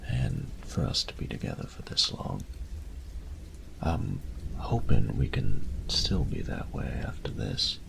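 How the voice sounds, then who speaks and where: Man playing a very deep, gravelly tender roommate. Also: very animated, slow, noisy background, exaggerated voice. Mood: nervous, earnest. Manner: soft breathy murmurs, teasing pet names. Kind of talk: intimate romantic audio roleplay. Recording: rain and thunder ambience behind voice. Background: rain and thunder.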